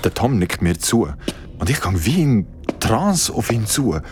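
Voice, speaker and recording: A man's voice: mid-range voice, very animated, unhurried. An actor playing a shaken man from the city who tells a horror story in an audio drama, with a Swiss accent. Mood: tense, hushed. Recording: dramatic music behind.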